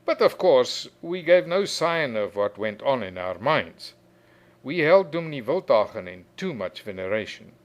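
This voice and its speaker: A man reading a short story aloud in an expressive, measured way, drawing out phrases for emphasis. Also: medium pitch. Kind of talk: expressive literary storytelling narration.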